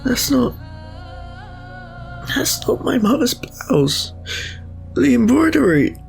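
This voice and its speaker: Frightened Victorian youngster, female actor: extremely slow, halting, creaky, grief-stricken audio drama delivery. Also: sits high, big pitch swings, soft background music, full character voice. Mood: distraught.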